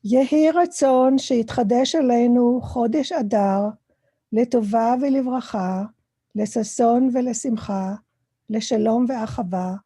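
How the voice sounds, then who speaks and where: A woman with an Israeli accent, reading a Hebrew blessing smoothly over a video call. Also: fairly high pitch, quick pace, dark tone, no echo. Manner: reads Hebrew prayer smoothly.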